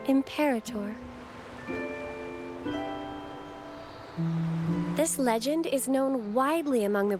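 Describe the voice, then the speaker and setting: An actress playing a devout, courteous young female acolyte, speaking formally and reverently in scripted video game dialogue. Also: sits high. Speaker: temple acolyte guide. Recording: game music under the voice. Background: game background music.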